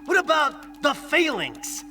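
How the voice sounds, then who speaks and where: A very high, piercingly bright young wisecracking hero. He is cheeky and sarcastic, bouncing around in pitch like a game character who never stops joking. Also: wildly swooping pitch, slow, soft background music, heavily acted. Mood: mocking. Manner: flippant, quippy, sarcastic. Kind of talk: video game cutscene acting.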